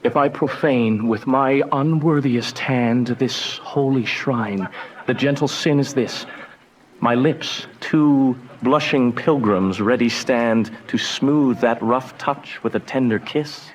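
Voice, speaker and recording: Man acting a lovestruck young gentleman, unhurried. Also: medium pitch, a little hiss or hum, lightly acted. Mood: tender wooing. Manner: reverent, poetic delivery. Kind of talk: Shakespearean radio drama acting.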